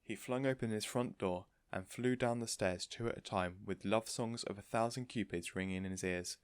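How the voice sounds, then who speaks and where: A man's voice: lowish voice, normal speed, clear, resonant tone, dry recording. A man narrating a children's story from a script, as an audiobook reader.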